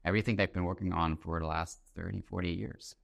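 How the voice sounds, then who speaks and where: A man talks as a guest in an interview. His voice is low and deep, and he lays out his ideas in a thoughtful, conversational way. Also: fairly even intonation, quick pace, vocal fry. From Germany. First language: German. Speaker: interview guest, author and entrepreneur. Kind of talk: conversational explanation.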